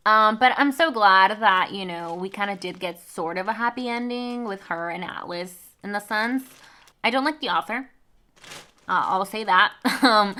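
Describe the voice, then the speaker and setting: A woman's voice: medium pitch, sharp tone. A young woman from Mexico whose first language is Spanish, chatting casually through a book review with lots of fillers and asides. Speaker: book vlogger.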